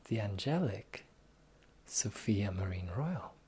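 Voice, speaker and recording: A performer voicing a sly, teasing pirate captain in a slow, breathy, whispered fantasy ASMR roleplay. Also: low voice, expressive, dark tone, heavily acted. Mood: sly, bargaining. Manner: teasing, slow, drawling, theatrical.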